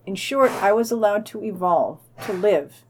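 A woman's voice: medium pitch, expressive, moderate pace, a little mellow, a little hiss or hum. A woman co-hosting a podcast, reading a news digest in an informal, wry way. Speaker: podcast co-host and news reader.

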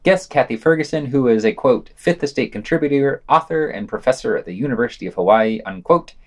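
Sardonic man with lively intonation. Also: medium pitch, quick pace. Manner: sardonic, scornful emphasis. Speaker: podcast news reader. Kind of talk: scripted review narration.

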